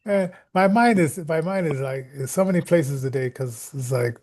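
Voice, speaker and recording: A middle-aged or older man rambling casually as an online radio host, halting and repeating words, often chuckling. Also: fairly high pitch, a little mellow. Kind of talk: casual rambling livestream talk.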